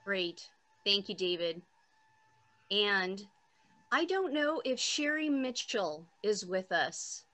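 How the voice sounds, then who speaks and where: A woman moderating an online panel, introducing speakers with brisk, friendly remarks over a video call. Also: mid-range voice, very animated, bright, forceful tone.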